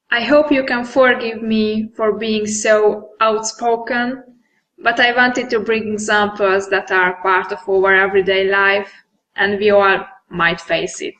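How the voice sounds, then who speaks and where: A woman's voice: mid-range voice, sharp tone, rough recording. A woman with a Hungarian accent, a non-native English speaker, giving a conference presentation and then answering questions with some hesitation. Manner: earnest, occasional hesitations. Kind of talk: presentation with audience Q&A.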